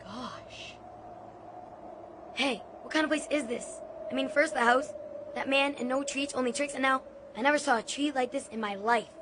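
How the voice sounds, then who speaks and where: A performer playing a curious, excitable young boy in a dramatized audiobook, eager and puzzled in questioning. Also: sits high, moderate pace, pauses often, lightly acted. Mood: baffled wonder. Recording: clear background noise and ambience.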